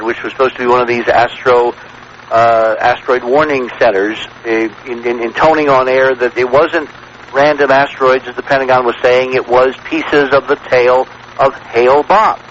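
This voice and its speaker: Emphatic radio guest, muffled noisy recording. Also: male voice, medium pitch, fairly bright tone. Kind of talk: conversational storytelling on talk radio. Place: radio broadcast. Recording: dull, noisy vintage radio broadcast recording.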